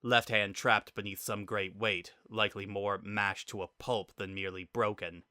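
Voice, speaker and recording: A man reads a dramatic story as its narrator. His voice is fairly low with a bright, forceful edge, and the recording is dry and close. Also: little pitch movement, normal speed, no room sound.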